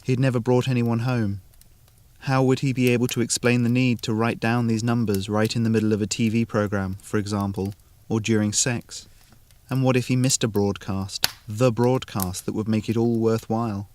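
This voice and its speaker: A man's voice: on the low side, little pitch movement, moderate pace, darkish tone, slight background noise. A man narrating a scripted short story as the storyteller.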